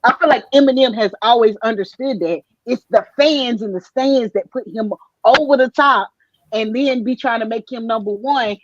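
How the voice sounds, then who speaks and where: A woman's voice: a little high, no room sound, lo-fi sound. A woman arguing her point in a casual panel chat, emphatic and slangy, often repeating herself.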